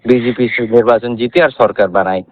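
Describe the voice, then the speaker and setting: A man's voice: mid-range voice, steady tone, a little fast. Dull-sounding phone line, Tripura Bengali man. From Tripura, India. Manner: mixes English phrases into Bengali. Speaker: election candidate being interviewed. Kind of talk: phone interview answers. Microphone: telephone.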